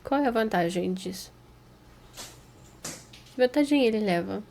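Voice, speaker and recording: A woman's voice: medium pitch, lively intonation, unhurried, vocal fry, darkish tone, a little hiss or hum. A Brazilian woman chatting casually and playfully as she reacts to a horror video, joking and exaggerating. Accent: Brazilian Portuguese.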